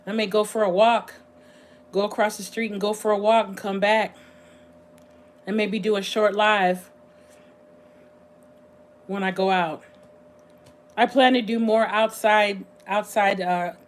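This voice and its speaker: Woman livestream host, slightly hoarse, easygoing rambling talk at home. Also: mid-range voice. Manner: rambling, chatty, thinks aloud with frequent pauses. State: slightly hoarse, voice going scratchy. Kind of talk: casual livestream chat.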